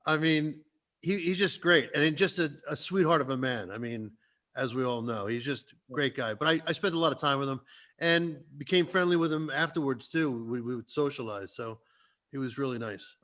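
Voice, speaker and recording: Bright-voiced man in jokey panel chat. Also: mid-range voice, normal speed. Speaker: actor on a reunion panel.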